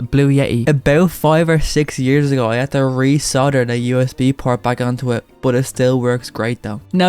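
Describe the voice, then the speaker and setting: A man hosting casual video commentary in an animated way, his pitch leaping up whenever he makes a point. His voice sits at an everyday middle pitch. Faint music plays under him. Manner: animated, jumps up in pitch for emphasis. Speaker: YouTube commentator.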